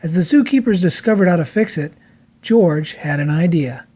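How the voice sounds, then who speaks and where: A storyteller reads a picture book aloud for children. The voice sits at a middle pitch, and the delivery is expressive and theatrical, made for storytime. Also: normal speed, husky. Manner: expressive, acts out character lines.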